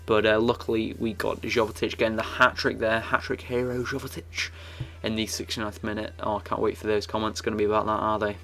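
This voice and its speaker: A young man talks through his football video game match in a loose, rambling way, full of fillers and restarts. His voice sits low and stays fairly flat, with a croaky, gravelly edge. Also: brisk, hardly any pauses. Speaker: gaming YouTuber. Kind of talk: casual game commentary.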